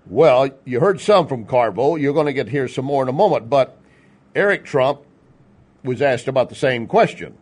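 A man from Texas with an American accent hosts a political talk radio show. His voice sits at a medium pitch. He speaks conversationally and folksily, tossing out rhetorical questions and joking asides. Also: lively intonation, moderate pace, darkish tone. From Texas, United States.

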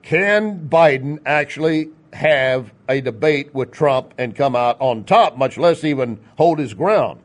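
A man from Texas with an American accent hosts a political talk radio show. His voice sits at a medium pitch. He speaks conversationally and folksily, tossing out rhetorical questions and joking asides. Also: animated, a little slow, few pauses. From Texas, United States.

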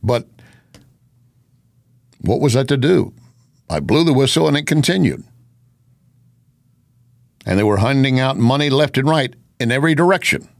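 A man's voice: mid-range voice, animated, moderate pace, vocal fry, pauses often, no echo, studio quality. A man hosting an opinion news show, talking conversationally and posing rhetorical questions as he comments.

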